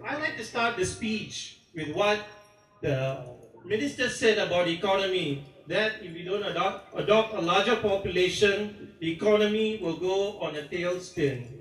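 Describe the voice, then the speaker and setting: A man's voice: high voice, expressive, moderate pace. A Singaporean man giving a rousing speech at an outdoor protest rally, taped from far off. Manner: rousing, declamatory, chuckles between points. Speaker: protest speaker. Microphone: amateur recorder from far off. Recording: distant, echoey, loud crowd noise. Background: large cheering crowd.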